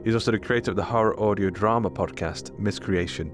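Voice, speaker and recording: A man's voice: lowish voice, quick pace. A man reading a scripted podcast outro as the announcer, with music underneath.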